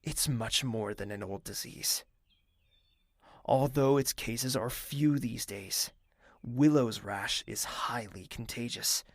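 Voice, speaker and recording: An actor playing a young male prince who is thoughtful and reserved, speaking in a measured, formal and courteous way. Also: mid-range voice, no echo, clean studio sound. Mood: grave. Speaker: narrator and lead character. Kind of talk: audio drama acting.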